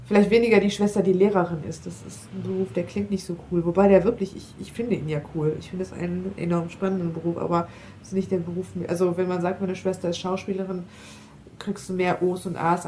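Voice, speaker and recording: A woman's voice: on the low side, mellow, a little hiss or hum. An adult woman talking casually about personal things in a matter-of-fact way, correcting herself as she goes. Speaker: teacher.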